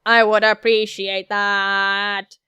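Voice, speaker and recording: A woman's voice: medium pitch, level tone, takes it slowly, pushed and bright, smooth, no room sound, muffled recording, voice pushed down. A woman voicing a polite male android from a visual novel in a formal, stiff, matter-of-fact way. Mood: flat, formal.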